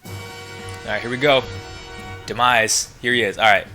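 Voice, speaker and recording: A man's voice: medium pitch, fairly even intonation, normal speed, pushed and bright. A man giving casual let's play commentary, thinking aloud and reacting to the game as he plays. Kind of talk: casual gameplay commentary, reading dialogue aloud. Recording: game music behind the voice. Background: video game music.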